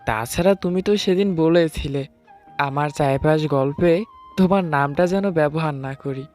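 A man's voice: on the high side, normal speed, a little mellow. A man tells a romantic story in the first person, speaking as an affectionate, teasing husband. His voice sits over a bed of background music. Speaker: narrator and storyteller. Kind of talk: dramatized first-person love story narration. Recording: music under the voice.

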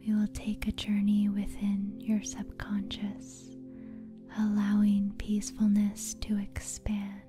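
A woman speaking in a breathy whisper as an ASMR host, in a slow, soothing, deliberate manner. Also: medium pitch, monotone, over music. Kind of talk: whispered soft-spoken ASMR, guided sleep meditation.

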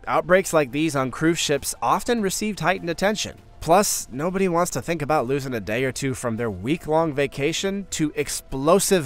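Man narrating a countdown documentary. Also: medium pitch. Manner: measured, informative delivery with light asides. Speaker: list video narrator. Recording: faint music bed behind voice.

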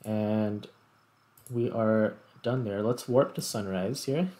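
A man giving casual, unhurried gameplay commentary, thinking aloud as he plays. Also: on the low side, dark tone. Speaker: host, gamer.

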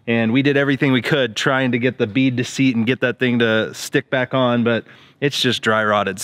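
A man's voice: medium pitch, no room sound. Young American man vlogging casually. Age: young adult. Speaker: vlog host and pilot. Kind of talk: casual vlog talk to camera. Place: outdoors on open fields and airfield, at times in a small plane cockpit.

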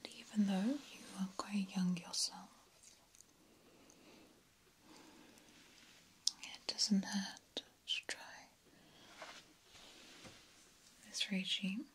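A woman playing a vain, menacing yet polite vampire countess in a whispered ASMR roleplay, speaking formally and deliberately. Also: medium pitch, level tone, lightly acted. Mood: vain, confiding. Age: young adult. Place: small dark indoor set, close to camera. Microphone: close ASMR microphone.

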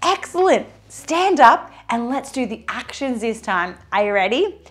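Bubbly, high-pitched preschool teacher woman. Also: a little slow. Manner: bubbly and energetic, singing a children's song. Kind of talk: children's lesson with singing. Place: indoor studio in front of a pink backdrop.

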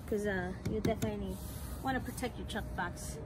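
A woman's voice: medium pitch, fairly quick. A young woman casually explaining a homemade build step by step, recorded outdoors on a handheld camera. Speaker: vlogger showing a home build. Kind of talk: casual show-and-tell. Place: outdoor driveway beside a house. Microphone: camera mic, handheld camera nearby. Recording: loud outdoor noise.

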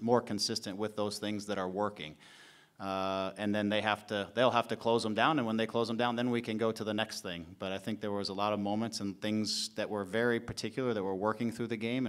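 Low, smooth-voiced man at a press table. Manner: measured, thinks aloud with fillers and restarts. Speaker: head coach. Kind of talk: post-match press conference answers. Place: press conference room. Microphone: table mic in front of him.

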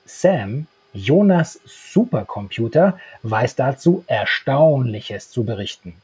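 A man in his thirties with a husky voice, talking as a podcast co-host. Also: medium pitch, animated, takes it slowly, darkish tone. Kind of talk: podcast talk, partly read summary. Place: small book-lined room at home. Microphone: handheld-style recorder microphone close up, earphones.

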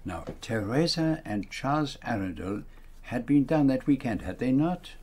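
An actor playing a courteous, shrewd Belgian detective in a British radio play, questioning in a formal, probing way. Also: male voice, mid-range voice, lively intonation, moderate pace, a little mellow, slightly rough.

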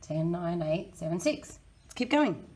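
A woman in her thirties with a croaky, hoarse voice, teaching a children's maths lesson in a friendly, encouraging way. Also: on the low side, very animated, unhurried, darkish tone. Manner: friendly, encouraging, talks to kids. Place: small indoor room.

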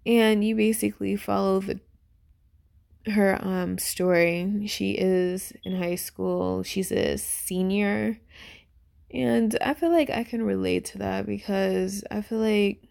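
A woman in her twenties giving a casual, unscripted review in a rambling, informal way, with lots of fillers and heavy vocal fry. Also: mid-range voice, fairly even intonation, slow, a little mellow. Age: 20s. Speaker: YouTuber reviewing a webtoon. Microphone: voice-over on a phone screen recording.

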